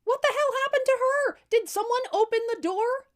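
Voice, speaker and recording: A woman's voice: very high voice, moderate pace, voice pushed up. A woman voicing a bold, cocky young princess in a comic fairy tale, brash and slangy. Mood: exasperated. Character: bold, cocky ugly princess girl, fearless and bossy.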